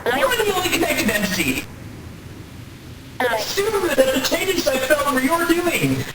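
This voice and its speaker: A woman voicing a busy, excitable nonhuman caretaker with formal, precise, dramatic wording in a game dub. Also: high-pitched, unhurried, heavy background noise. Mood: alarmed, dramatic. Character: busy, fussy, excitable nonhuman caretaker entity of a memory space. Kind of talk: game fan dub character acting. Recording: echoey, distant, loud music behind.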